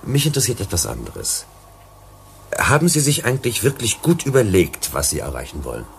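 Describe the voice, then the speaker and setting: Deep, gravelly male mediator. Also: huge pitch swings, a little hiss or hum, heavily acted. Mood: probing. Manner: measured, courteous, questioning. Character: calm, polite, probing male mediator from Earth. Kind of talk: German radio play acting.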